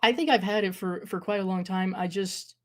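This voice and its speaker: A woman's voice: medium pitch, a little fast. A young woman, a guest talking about her acting, speaking hesitantly with many fillers and small laughs. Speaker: guest, horror creator and acting student. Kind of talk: casual interview chat.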